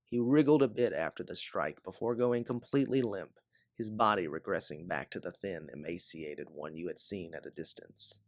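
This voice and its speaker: A man narrating a science-fiction adventure story as an audiobook reader, with theatrical emphasis and a measured, dramatic delivery. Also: sits low, no echo, clean studio sound. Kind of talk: dramatic second-person sci-fi story narration.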